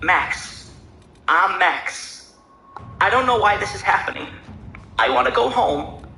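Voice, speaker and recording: A man's voice: sits high, animated, slow, vocal fry, sharp tone, echoey room, slight background noise, pitched above the performer's own voice, heavily acted. A man doing a trembling, frightened young man. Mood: scared. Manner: trembling, pleading.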